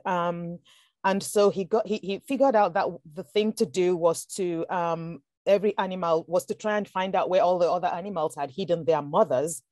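A woman from Nigeria speaks as a guest in a podcast conversation, careful and reflective, often circling back and restarting her sentences. Her voice sits lowish, and the recording is dry and close with no room sound. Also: darkish tone, dry recording. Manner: thoughtful, with many restarts and fillers. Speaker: author guest.

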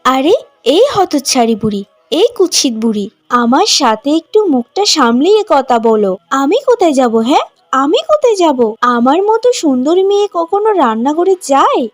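A woman's voice: sits high, very animated, normal speed, dry recording, faint music behind. A woman voicing a vain, haughty young bride in a cartoon, with an affected, self-admiring manner. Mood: scolding, threatening. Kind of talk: cartoon voice acting.

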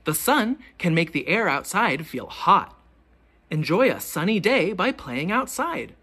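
A teacher reading a children's picture book aloud, with expressive, deliberate questions pitched to young children. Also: breathy edge. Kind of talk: children's storybook read-aloud.

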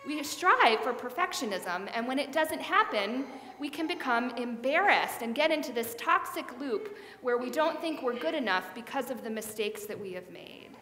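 A middle-aged woman pastor preaches in a relaxed, conversational way, with a slightly high voice. She wears a headset mic in a big church sanctuary. Her words ring out with a long, roomy echo. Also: moderate pace, hardly any pauses, slight background noise. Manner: conversational preaching with hand gestures. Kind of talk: sermon. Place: large church sanctuary, indoors. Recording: echoey hall with long reverb.

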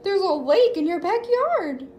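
A woman voices an enthusiastic little boy from a picture book. The voice is high and animated, exclaiming to a friend in a slightly echoey room. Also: a little slow, lo-fi sound, a little hiss or hum, heavily acted. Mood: amazed.

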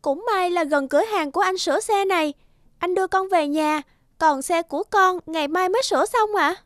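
A woman voicing an anxious, earnest teenage girl in a cartoon, soft, hesitant and polite, with a Northern Vietnamese accent. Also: very high-pitched, fairly quick, dry recording, clean studio sound. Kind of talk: animated cartoon dialogue. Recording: music behind the dialogue.

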